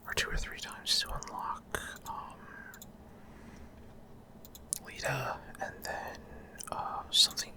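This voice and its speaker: Male ASMR gaming host, whispered throughout, soft and unvoiced, halting commentary with frequent mid-sentence pauses.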